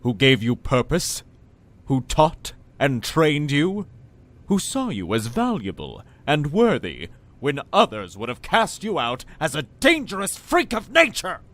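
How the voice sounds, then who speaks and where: A man playing a manipulative, falsely tender cult lord in an audio drama, speaking in deliberate, condescending, formal phrases. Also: on the high side, huge pitch swings, a bit bright, heavily acted. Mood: menacing.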